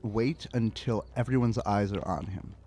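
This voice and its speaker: A man's voice: on the low side, a little slow, mellow. A man talking casually as a player in a tabletop role-playing game, thinking aloud as he goes.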